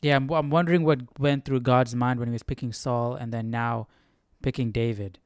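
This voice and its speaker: A male host gives casual live commentary, thinking aloud in an informal, reactive way. His voice is smooth and clear, recorded dry and close. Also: mid-range voice, fairly even intonation, moderate pace, dry recording.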